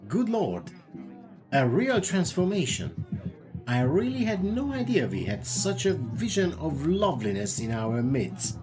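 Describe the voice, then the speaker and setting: Bloke doing a genial older hotel boss in a radio play, flattering, very up and down voice, music under it. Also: male voice, on the high side, measured pace, rough recording. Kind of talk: radio drama acting.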